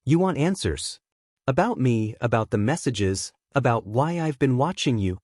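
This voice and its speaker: A man voicing a smooth, knowing, menacing high-society schemer in an audiobook, speaking in a measured way. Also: lively intonation, a little mellow, no room sound, clean studio sound, natural voice. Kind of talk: audiobook character dialogue.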